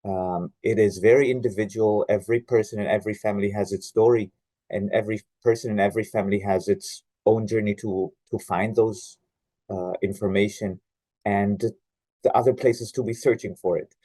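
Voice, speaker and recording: A man from Israel, a genealogy researcher, giving an online presentation with many pauses and fillers. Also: lowish voice, steady tone, dark tone, no room sound. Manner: hesitant, many "uh" fillers and restarts. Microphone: video call.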